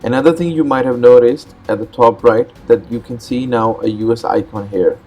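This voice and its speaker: A man whose first language is Urdu hosts a step-by-step computer tutorial, explaining in a relaxed, plain way with frequent "uh"s and repeated words. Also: medium pitch, moderate pace, soft background music. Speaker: host. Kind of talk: step-by-step screen tutorial narration.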